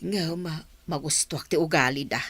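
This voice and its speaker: An actress playing a kindly village aunt and wife in a radio comedy drama, with an Ilocano accent. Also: female voice, low voice, moderate pace, fairly bright tone, husky, no echo. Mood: fond, approving.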